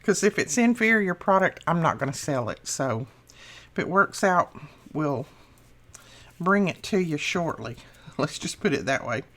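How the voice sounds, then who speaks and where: A middle-aged woman hosting a craft channel, chatting casually through a tutorial with a slightly rough morning voice, laughing at her own mistakes. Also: sits low, very animated, measured pace, long pauses, a bit bright.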